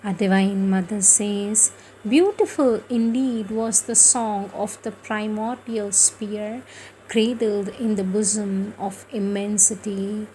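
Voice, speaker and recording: A woman reciting spiritual prose devotionally, in a solemn, declamatory manner with measured phrasing and pauses. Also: mid-range voice, steady tone, dark tone, slight background noise, low-quality recording. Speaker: reader of spiritual writings.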